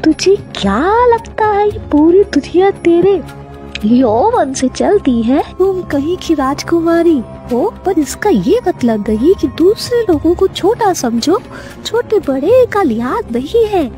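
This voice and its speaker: A high-pitched, furious old woman from a cartoon fairy tale, acted by a woman. She scolds sternly and stretches her words slowly as she hands down curses, with faint music underneath. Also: sing-song, warm tone, full character voice. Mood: furious scolding. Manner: stern, scolding, drawn-out delivery. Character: angry old village woman who curses the proud. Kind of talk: cartoon storybook character voice.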